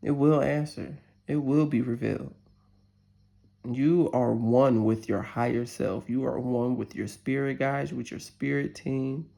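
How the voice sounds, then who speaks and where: A woman in her forties with a deep voice giving a casual tarot reading and prayer, chatty and candid, cursing freely. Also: fairly even intonation, unhurried, vocal fry, mellow. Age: 40s. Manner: chatty, candid, curses freely and laughs often. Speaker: tarot reader. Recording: roomy echo.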